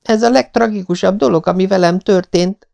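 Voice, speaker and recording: Anne, a man putting on a high dramatic girl. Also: creaky, a little mellow. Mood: despairing. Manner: pleading, theatrical. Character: young orphan girl, dreamy, dramatic, tearful. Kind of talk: audiobook reading.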